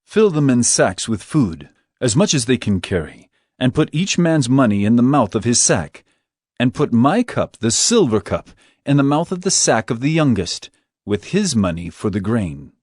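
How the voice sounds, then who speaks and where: A man's voice: mid-range voice, sing-song, moderate pace, a little mellow, breathy edge, no echo, clean studio sound. A man voicing Joseph, a commanding ruler in Egypt, in a dramatized scripture reading.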